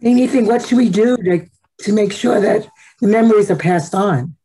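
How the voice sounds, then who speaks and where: Female participant, soft dark timbre with some rasp, casual discussion over video call. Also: mid-range voice, moderate pace, low-quality recording. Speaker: audience participant.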